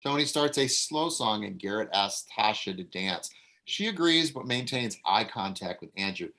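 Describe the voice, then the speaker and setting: A man's voice: lowish voice, normal speed. A man reading the stage directions and giving notes to the actors at a casual table read. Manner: relaxed, casual, with fillers and self-corrections.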